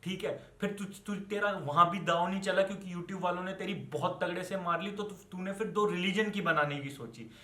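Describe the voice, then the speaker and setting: A man's voice: sits high, normal speed, few pauses, fairly bright tone. A young man from India with an Indian accent delivers an angry, unscripted rant as an online commentator, scolding and swearing with rhetorical questions and switching into English. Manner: switches between Hindi and English. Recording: echoey, reverberant room.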